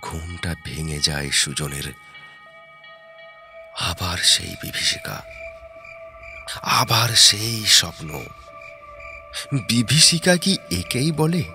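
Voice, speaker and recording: A man narrating a horror story in a dramatic, suspenseful way, in short halting phrases. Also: low-pitched, animated. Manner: suspenseful, halting short phrases, dropping to hushed breathy tones. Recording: background music under the voice. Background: prominent music.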